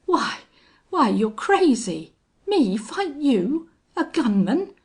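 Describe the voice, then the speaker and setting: A woman voicing a cowardly, blustering young man in a western audiobook, excitable and prone to stammering. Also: mid-range voice, measured pace, creaky, breathy edge, heavily acted. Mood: alarmed, stammering. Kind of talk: audiobook character acting.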